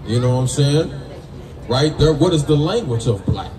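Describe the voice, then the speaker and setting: A man's voice: a little high, lively intonation, normal speed, warm tone. American man preaching, echoey and far off. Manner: emphatic, colloquial, punctuates with "right?" and "man". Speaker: street preacher teaching from scripture. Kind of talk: call-and-response Bible teaching. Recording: distant roomy mic amid people's noise.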